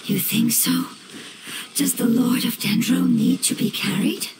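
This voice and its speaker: A woman playing a gentle, wise, ancient plant spirit in an anime game, calm and formal as she explains. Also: on the high side, measured pace, heavy background noise, lightly acted. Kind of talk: anime video game voice acting. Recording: roomy echo, game music behind.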